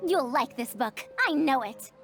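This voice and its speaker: A very high, girlish-pitched scholar with lively swings of intonation. She is fussy and bookish, speaking in formal, old-fashioned phrases. It is game dialogue with clear music playing behind. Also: measured pace, heavily acted. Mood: eager, puzzled. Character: bookish, fussy young-sounding woman scholar, indignant about her beloved books. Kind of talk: video game voice acting.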